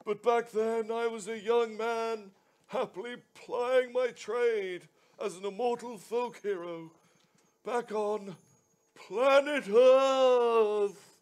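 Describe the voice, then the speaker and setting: A man's voice: fairly even intonation, takes it slowly, a little mellow. A man voicing a jolly, pompous old Father Christmas in a live radio play reading. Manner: booming theatrical delivery with ho ho ho. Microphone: headset.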